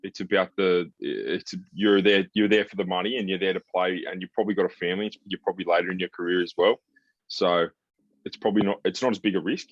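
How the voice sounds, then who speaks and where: A man with a low voice chats casually about sport as a podcast host. His manner is blunt and laid-back. The sound is dry and close but slightly dull and lo-fi. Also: fairly even intonation, quick pace, dry recording.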